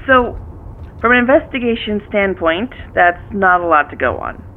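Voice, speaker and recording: A woman's voice: mid-range voice, expressive, normal speed. Female detective, phone-filtered voice, dry, guarded, skeptical, businesslike, naturalistic audio drama. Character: guarded, curt female police detective on a call. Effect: telephone filter. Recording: thin phone line with noise.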